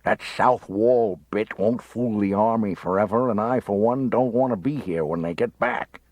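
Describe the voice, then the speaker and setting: Man voicing a gruff con-man mentor, growling, curt, husky, low. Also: moderate pace, a little mellow, no room sound, natural voice. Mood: snarling.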